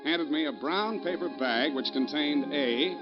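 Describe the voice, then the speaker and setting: An actor playing a flippant, sardonic private detective with deadpan wisecracks, in 1940s radio style. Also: male voice, fairly high pitch, a little slow, natural voice. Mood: wry. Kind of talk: 1940s radio acting and narration. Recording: muffled radio recording with background music.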